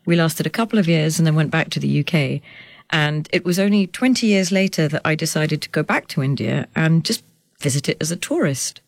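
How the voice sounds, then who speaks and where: A woman in her forties, a journalist being interviewed, talking animatedly with laughs and lively swings in pitch. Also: a little fast, gravelly, dry recording, clean studio sound. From United Kingdom. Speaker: journalist and author guest. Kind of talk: conversational interview.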